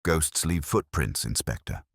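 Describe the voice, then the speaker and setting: A man voicing an incisive, commanding English detective in an audiobook, with measured, clipped delivery. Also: a bass voice, animated, husky, dry recording, clean studio sound, heavily acted. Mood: cool, pointed.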